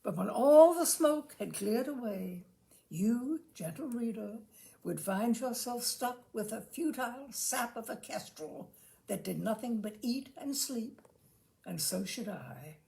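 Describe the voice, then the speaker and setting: A woman giving an expressive, theatrical reading of a humorous essay, with measured phrasing and dramatic pauses. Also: a little high, creaky. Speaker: reader of literary humour.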